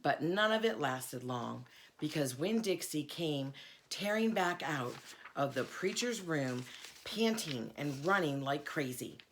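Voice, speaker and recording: A woman reading a children's novel aloud in an expressive storytelling manner. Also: low voice, slow pace, a little hiss or hum. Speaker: reader, school board member.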